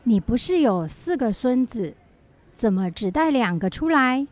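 A woman's voice: a little high, big pitch swings, measured pace, a little mellow. A woman playing a friendly, inquisitive village auntie in a children's educational radio drama, talking in a folksy, admiring way. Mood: curious.

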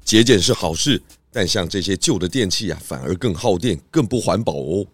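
A man playing a calm, polite, reasonable pig detective in a children's audio drama. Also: deep voice, a little fast, breathy edge, no room sound. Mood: reasoning.

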